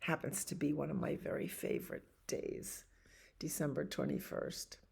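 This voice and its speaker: A woman with a low, creaky voice talks to young children at a bedtime story time. She takes her time, adding chatty asides and simple questions as a library storyteller. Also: big pitch swings, moderate pace, a little mellow.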